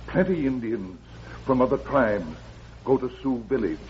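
An actor playing a loyal, stoic scout in an old radio western, speaking terse, deliberate broken English. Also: male voice, medium pitch, lively intonation, close to an everyday voice. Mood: stoic, urgent. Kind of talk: 1950s radio western acting. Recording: noisy, dull old radio recording.

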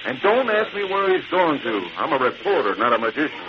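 An actor playing a wisecracking newspaper reporter, brash and snappy, in an old-time radio drama. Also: male voice, a little high, sing-song, a little fast. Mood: wry, brisk. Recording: very noisy, muffled vintage radio recording.

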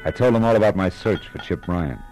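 Guy playing a deep-voiced noir private eye, wry and slangy, old radio drama with music behind him. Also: a little fast, darkish tone, lightly acted. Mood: wry narrating. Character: hard-boiled male private detective, wry and tough. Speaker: narrator and lead. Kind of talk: 1940s radio noir narration and dialogue.

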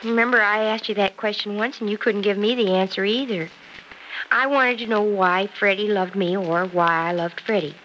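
A woman's voice: mid-range voice, moderate pace, fairly bright tone, highs cut off, lightly acted. An actress playing an earnest, devoted young woman in an old-time radio drama, speaking emphatically. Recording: noisy vintage radio recording.

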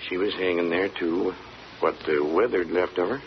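An actor playing a loyal, soothing, folksy country neighbor with a rural American accent, in an old-time radio drama. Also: male voice, low voice, normal speed, natural voice. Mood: somber. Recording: dull, noisy old radio recording.

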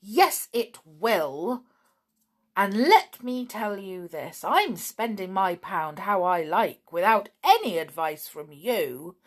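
Bossy male goblin, played by a woman, argumentative, emphatic, severe, animated intonation, storybook. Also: on the low side, takes it slowly, gravelly, slightly rough, exaggerated voice. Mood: defiant.

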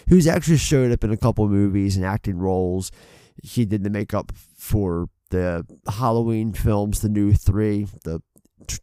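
A man's voice: sits low, dark tone, clear, resonant tone, no room sound. A man with a stuffy head cold, co-hosting a casual film podcast, rambling with many fillers and casual swearing into a desk mic. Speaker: podcast co-host. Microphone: desk mic on an arm.

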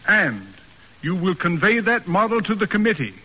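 A man's voice: sits high, sing-song, normal speed, bright, forceful tone, breathy edge, long reverb tail, exaggerated voice, low-quality recording. An actor playing a stern, pompous middle-aged American father in a formal, declamatory radio drama style. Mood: commanding, emphatic. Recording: old radio broadcast recording.

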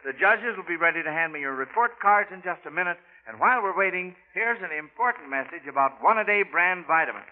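A man's voice: high voice, lively intonation, fast, few pauses. An American man hosting a 1940s radio quiz, talking in a folksy schoolmaster manner to children. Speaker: quiz show host. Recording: tinny, band-limited old radio recording.

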